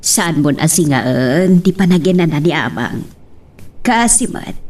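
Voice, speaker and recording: A woman acting in an Ilocano radio drama as a weary, husky middle-aged mother. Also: sits low, big pitch swings, slow pace, darkish tone, distant mic, heavily acted. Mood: weary, chatty.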